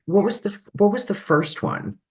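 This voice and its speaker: A woman hosts a conversational podcast with a voice deep enough to be ambiguous. She chats casually, playful and a little self-deprecating. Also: expressive, moderate pace, darkish tone. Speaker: podcast host. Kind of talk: casual chat.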